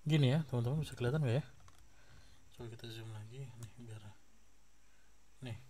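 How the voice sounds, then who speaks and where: Guy w/ a bit of a cough going over stock charts, laid back, lots of fillers, warm voice. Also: mid-range voice, a little fast. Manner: relaxed, many fillers and tag questions. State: slight cough. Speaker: stock market channel host. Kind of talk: casual stock chart review.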